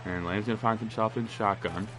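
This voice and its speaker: Guy with a blocked nose doing laid-back game commentary, kinda low voice, some noise in the back. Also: fairly even intonation, brisk. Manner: casual, rambling, spikes up on big plays. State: congested, nasal. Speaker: gaming commentator. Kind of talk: play-by-play commentary of a football video game.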